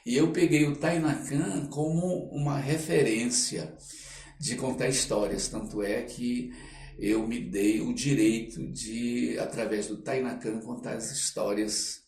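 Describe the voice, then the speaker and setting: An older man with a Brazilian accent, telling personal anecdotes as an interview guest in a halting, reflective way. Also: mid-range voice, steady tone, darkish tone. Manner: halting, reflective, frequent tag questions. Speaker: interview guest, actor and storyteller. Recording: roomy echo, clear background noise.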